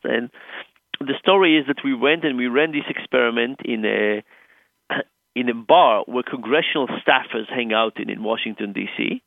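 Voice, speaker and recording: An Israeli-accented professor, a man, explains his research on a radio show, coming through a dry, tinny phone line. His voice is gravelly and he thinks aloud as he goes, clearing his throat between ideas. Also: medium pitch, dry recording. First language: Hebrew. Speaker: author and professor guest.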